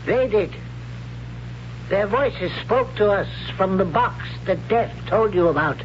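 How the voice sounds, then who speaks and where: Stern, high-pitched old priest. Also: male voice, expressive, unhurried. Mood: grave. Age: older. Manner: solemn, declamatory. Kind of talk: 1970s radio drama acting. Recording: dull, noisy 1970s radio recording.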